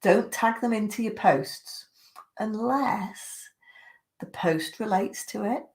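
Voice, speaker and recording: A middle-aged woman with a croaky voice from a chest infection, giving social media tips in a chatty live stream. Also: mid-range voice, takes it slowly, darkish tone. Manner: chatty, greets viewers by name.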